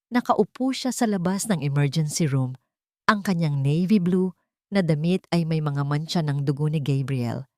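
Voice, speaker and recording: A Filipino woman with a fairly low voice narrates a romantic drama. Her delivery is emotive and deliberate, in the manner of scripted storytelling. The sound is dry and close, with little room in it. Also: no echo.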